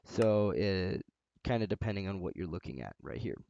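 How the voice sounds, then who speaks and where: Low-voiced man giving a relaxed game tutorial. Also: normal speed, vocal fry, no echo. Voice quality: loud voice. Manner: relaxed, rambling, frequent "uh" fillers. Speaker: tutorial host. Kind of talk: casual screen-recorded game tutorial. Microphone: desk microphone near a loud keyboard. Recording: dull, slightly lo-fi home recording.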